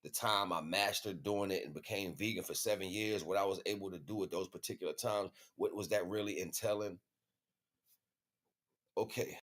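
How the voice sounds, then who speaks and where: American male livestream host, low pitch, informal spiritual monologue, street slang, emphatic and repetitive. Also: normal speed, a bit bright.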